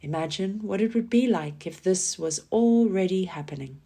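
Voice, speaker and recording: A woman leading a scripted guided relaxation meditation in a calm, soothing manner, giving gentle instructions with pauses. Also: lowish voice, slightly rough. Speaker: yoga nidra guide.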